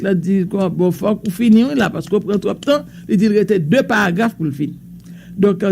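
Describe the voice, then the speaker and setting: Haitian newsman with a high voice. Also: dark tone, a little hiss or hum. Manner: reads bulletins in a loose mix of French and Creole, with hesitations. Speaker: news presenter. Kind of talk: radio news reading.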